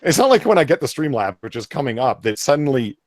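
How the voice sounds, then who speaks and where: A man in a casual chat, with pitch that swings widely and a close, dry sound. He swears freely and tends to exclaim. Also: sing-song, fast talker, no echo.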